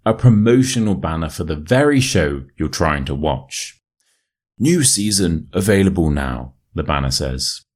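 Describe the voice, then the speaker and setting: A man with a modern RP British accent reading a short story as an English teacher, in a measured, clearly enunciated way. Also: sits low, a little mellow. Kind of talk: scripted story narration for listening practice.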